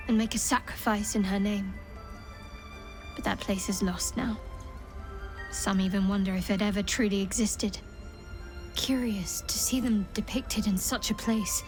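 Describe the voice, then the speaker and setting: An actress playing a guarded, secretive young woman cleric in a video game, speaking in a measured, reserved, faintly wry way. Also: mid-range voice, lightly acted.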